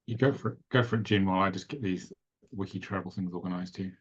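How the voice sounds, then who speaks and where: A man's voice: sits low, fast, slightly rough, highs cut off. A man leading a training session, chatting expressively and telling stories on a video call. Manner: expressive, talkative, anecdotal. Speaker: course instructor. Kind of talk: casual teaching chat on a video call.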